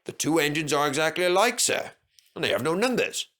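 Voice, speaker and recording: A man's voice: a little high, sing-song, a little fast, fairly bright tone, pitched above the performer's own voice. A man voicing an obliging railway inspector in a children's story, polite and deferential. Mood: apologetic.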